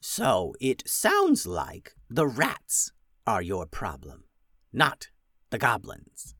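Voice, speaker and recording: A man's voice: mid-range voice, huge pitch swings, very slow, fairly bright tone, no room sound, studio quality, heavily acted. A man voicing an old female goblin leader in a storybook reading, shrewd and needling as she fires wry questions. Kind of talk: storybook character voice.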